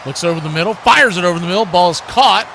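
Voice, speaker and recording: A man's voice: high-pitched, animated, fast, pushed and bright. A man calling live American football play-by-play in short, clipped phrases on a live stream. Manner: clipped phrases, raises voice on big plays. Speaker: play-by-play commentator. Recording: noisy live stream with background noise.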